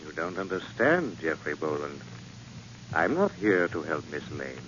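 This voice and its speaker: An actor playing an invisible, commanding crime-fighter in a 1940s radio drama, with an ominous, taunting, dramatic delivery. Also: male voice, rumbling low, big pitch swings, a little slow, fairly bright tone, full character voice. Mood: grave warning. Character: invisible, commanding male crime-fighter who haunts wrongdoers. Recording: scratchy, muffled 1940s radio recording.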